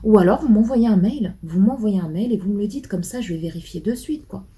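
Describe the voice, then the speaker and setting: Une femme qui tient un vlog, bavarde et décousue, avec beaucoup d'hésitations et de répétitions. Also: female voice, medium pitch, brisk, mellow. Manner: chatty and rambling, many fillers and repeats. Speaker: vlogger. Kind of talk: casual chat to camera.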